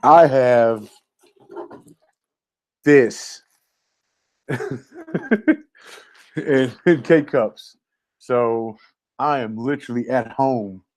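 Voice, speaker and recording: A man's voice: medium pitch, very slow, pauses often, darkish tone. A middle-aged man co-hosting a casual show from home over a video call, laid-back and jokey, often trailing off into a mumble. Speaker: co-host. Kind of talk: casual chat.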